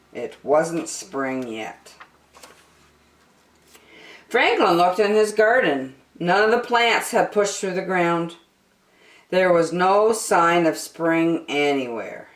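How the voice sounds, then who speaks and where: A woman's voice: fairly low pitch, roomy sound. A woman reading a storybook expressively as a storyteller for children.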